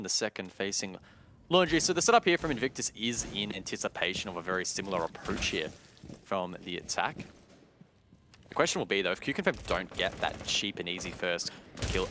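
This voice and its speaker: A low-pitched male esports caster delivers live match commentary. His phrasing is measured and analytical, and game gunfire and clicking sit noticeably behind his voice. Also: background noise. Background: game gunfire, explosions and clicks.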